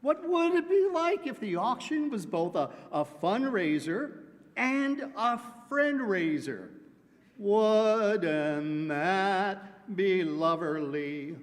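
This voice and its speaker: A man's voice: high-pitched, slow. A man doing a playful, pun-filled announcement skit in front of a congregation. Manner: punning, playful deadpan. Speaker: congregation member presenting an announcement. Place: large church sanctuary. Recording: long hall reverb.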